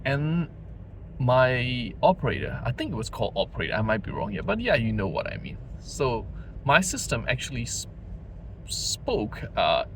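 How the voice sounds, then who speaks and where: A man's voice: medium pitch, wildly swooping pitch, normal speed, a bit bright, rough recording. A man vlogging off the cuff from his car at night, rambling with many fillers. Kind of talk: unscripted casual vlog while driving. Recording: clear car noise underneath. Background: car engine and road noise.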